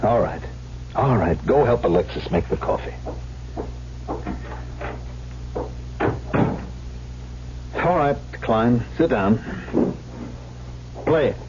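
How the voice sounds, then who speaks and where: An actor playing a bitter, domineering pianist and harsh, sarcastic teacher in an old-time radio drama. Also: male voice, medium pitch, sing-song, unhurried, background noise. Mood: gruff, curt. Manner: scathing, sarcastic, barking commands. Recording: dull vintage radio broadcast, music behind.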